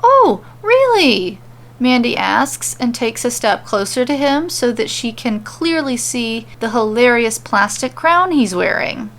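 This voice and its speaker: A woman reads a scripted story as its narrator. Her voice is on the high side and heavily creaky. The delivery is that of comedic fan-fiction storytelling. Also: unhurried, few pauses, a bit bright.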